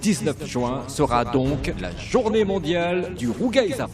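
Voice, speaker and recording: A man's voice: on the high side, very animated, unhurried, recorded from a distance. A man playing an official announcer proclaiming results in a radio serial.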